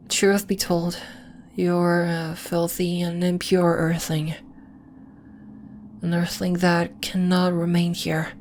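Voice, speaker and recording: A woman voicing a gentle, lofty alien moon healer in an ASMR roleplay, speaking in a soothing, formal, faintly condescending and measured way. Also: medium pitch, a little hiss or hum, natural voice. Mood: lofty, intrigued. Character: gentle, lofty female lunarian healer, celestial moon being. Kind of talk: ASMR roleplay, scripted, addressed to the listener.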